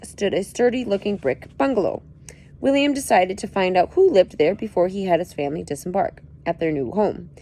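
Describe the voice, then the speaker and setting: Reader reading a book chapter aloud, lively up-and-down melody, playful asides. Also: female voice, medium pitch.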